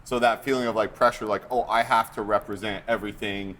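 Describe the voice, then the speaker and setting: An American man hosting a casual podcast chat, animated and joking, with lots of fillers. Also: on the low side, quick pace, a little hiss or hum. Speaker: podcast host.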